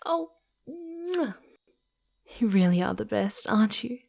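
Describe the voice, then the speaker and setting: A woman voicing a playful, sweet, eager young female fox spirit in a soft ASMR roleplay, cooing and giggly, often slipping into breathy whispers. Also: fairly high pitch, big pitch swings, slow pace, full character voice. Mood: delighted, touched. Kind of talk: ASMR roleplay, speaking softly to the listener.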